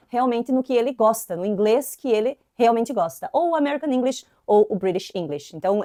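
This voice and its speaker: A young woman from southern Brazil speaks with an inland Santa Catarina accent, chatting through an English lesson in a relaxed way. Her voice sits a little high, and it is close and dry with no room sound. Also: no echo. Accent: Santa Catarina inland Brazilian Portuguese. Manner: chatty, frequent "né" tags, lively emphasis. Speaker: English teacher. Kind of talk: casual lesson to camera.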